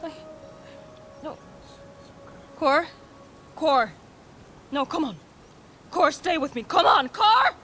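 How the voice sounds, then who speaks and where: A young, fiercely devoted heroine from a dramatic video game. Her voice sits fairly high and moves through wide swings of pitch. She speaks slowly and haltingly, with raw emotion, over a clear musical score. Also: sing-song, over music, exaggerated voice. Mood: panicked pleading.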